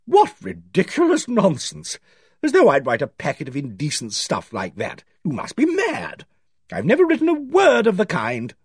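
Man playing an emphatic, high-pitched, emotional woman. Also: sing-song, normal speed, slightly rough, no echo, clean studio sound. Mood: indignant denial. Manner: loud, exclamatory, emphatic. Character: hearty, booming, defiant woman who breaks into anguish. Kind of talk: audiobook character voice.

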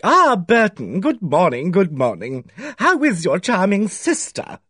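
Man voicing a fussy older male gossip, high and gushing, swooping, dry close sound. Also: huge pitch swings, pushed and bright, slightly rough, no room sound, exaggerated voice. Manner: gushing, theatrical, drawn-out.

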